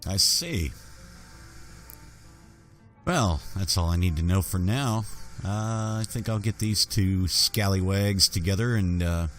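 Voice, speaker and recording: A man's voice: low-pitched, slow pace, a bit bright, faint music behind. A man improvising in character as a folksy fantasy game adventurer, with hesitant speech. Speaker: roleplaying gamer voicing his adventurer character.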